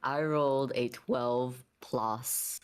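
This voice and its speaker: A woman's voice: a bass voice, steady tone, extremely slow, clear, resonant tone. A woman chatting casually as a player in a tabletop game.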